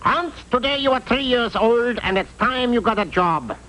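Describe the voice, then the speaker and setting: Cartoon papa: very high voice, unbothered Dutch father with comic broken grammar. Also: expressive, normal speed, bright, forceful tone, slight background noise, full character voice, poor audio. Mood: bossy. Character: Papa Klinker, nonchalant Dutch father in a fairy tale. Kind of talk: cartoon character voice. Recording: old unrestored TV cartoon audio.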